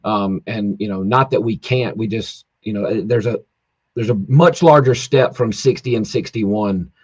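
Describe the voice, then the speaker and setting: A man who races drag cars, hoarse from yelling, talking in a rambling casual way full of fillers and restarts over a call. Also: fairly low pitch, unhurried, dry recording. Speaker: drag racer guest. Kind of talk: casual podcast chat. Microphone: video call, home internet.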